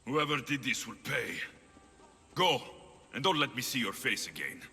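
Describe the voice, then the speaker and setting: Stern, terse mercenary. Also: male voice, medium pitch, lively intonation, moderate pace, pushed and bright, husky, heavily acted. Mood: grim, firm. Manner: terse, commanding. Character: stern male Greek mercenary hero, protective and battle-hardened. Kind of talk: video game dramatic acting. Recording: game music behind the voice.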